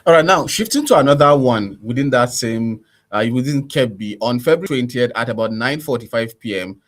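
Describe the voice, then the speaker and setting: Nigerian man hosting a radio interview. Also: mid-range voice. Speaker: radio host interviewing a guest.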